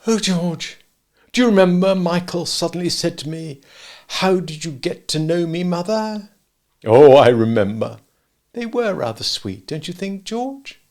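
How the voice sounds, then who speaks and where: A man reading a storybook and voicing a calm, affectionate mother who speaks softly. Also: high voice, a little slow, voice pushed up. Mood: fond. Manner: soft, placid, affectionate.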